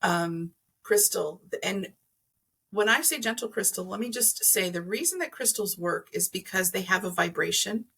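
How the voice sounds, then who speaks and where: A woman with a slightly husky voice at a middling pitch talks as a guest expert on a podcast. She explains in a conversational way, pausing and rewording as she thinks aloud. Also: lively intonation, pushed and bright. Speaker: podcast guest, energy healer. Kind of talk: conversational explaining of crystals and chakras.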